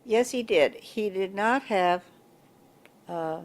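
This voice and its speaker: An older woman with a big voice, recalling local government history in an oral history interview, pausing and restarting as she searches for words. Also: medium pitch. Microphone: table mic in front of a coffee pot.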